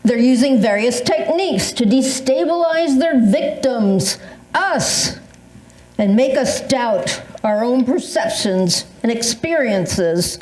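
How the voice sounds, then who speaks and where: A woman's voice: a little high, lively intonation, takes it slowly, a little mellow. An older woman playing an agitated, suspicious older woman in an amateur readers theatre performance, speaking emphatically. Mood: alarmed, suspicious. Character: agitated older woman convinced of a mailbox conspiracy. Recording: roomy echo.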